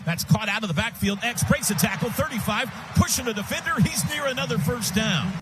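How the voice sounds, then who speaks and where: An American man calling live football on radio as the play-by-play announcer, in rapid, clipped present-tense phrases. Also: extremely high pitch, animated. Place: stadium radio booth. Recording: loud stadium noise behind broadcast.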